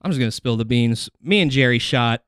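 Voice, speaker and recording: A man's voice: medium pitch, lively intonation, a little fast, fairly bright tone, smooth, no room sound, studio quality. A man hosting a comedy podcast, chatting casually in a slangy, profane, joking way.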